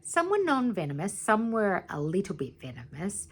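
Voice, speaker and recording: Highly animated woman reading a storybook. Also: normal speed, husky. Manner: animated, dramatic emphasis with asides to young listeners. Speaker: teacher reading aloud to primary school students. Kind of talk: expressive children's storybook read-aloud.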